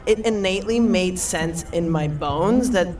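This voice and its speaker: A woman in her thirties, from Eastern Europe, telling a personal story as a panelist in an animated, storytelling way. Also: lowish voice, measured pace. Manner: animated storyteller, laughs often. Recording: far from the mic, crowd behind.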